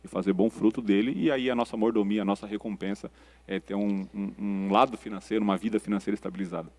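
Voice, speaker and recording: A Brazilian man with a slightly low voice explains things at a quick pace. He sounds practical and colloquial, like a guest adviser in a casual interview. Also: steady tone, a little mellow. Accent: Brazilian Portuguese.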